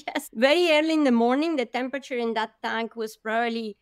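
A woman's voice: fairly high pitch, a little fast, clear, resonant tone, no room sound, studio quality. A woman from Colombia, a guest vividly telling her own life story in an interview, with gasps and laughs along the way.

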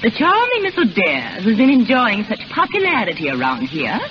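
An actress playing a haughty, accusing young society woman in 1930s radio drama, sharp and disdainful, on an old recording. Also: mid-range voice, wildly swooping pitch, normal speed, muffled recording, slight background noise. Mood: scornful accusing.

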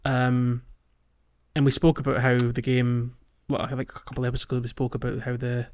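A man's voice: mid-range voice, completely flat delivery, a little fast, a little mellow. A man hosting a podcast in a casual, hedging, conversational way about video games. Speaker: podcast host. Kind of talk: casual video game discussion. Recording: dull lo-fi microphone.

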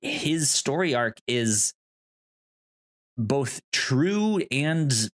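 A man's voice: mid-range voice, sing-song, drawn-out words. Rambling, stammering man chatting casually. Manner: rambling, stammering, laughs easily. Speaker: podcast co-host. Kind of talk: casual film discussion.

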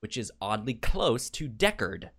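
A man co-hosting a podcast, chatting casually about a TV show, stammering and repeating sounds and words as he talks in an animated way. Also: medium pitch, unhurried, a crowd in the background. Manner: animated, acts out quotes. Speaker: podcast co-host.

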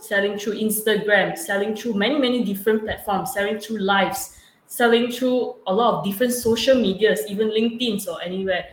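A young woman with a Malaysian accent, answering interview questions casually in halting, repetitive phrasing. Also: medium pitch. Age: young adult. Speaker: student entrepreneur being interviewed. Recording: echoey room with clear background noise.

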